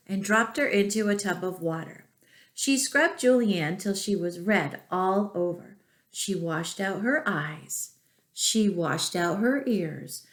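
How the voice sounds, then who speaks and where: A woman reads a picture book to young children. Her pace is slow and deliberate, her delivery expressive with asides to the listeners. The room around her is noticeably echoey. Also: mid-range voice. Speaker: children's storyteller at a school. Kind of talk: storybook read-aloud to young children.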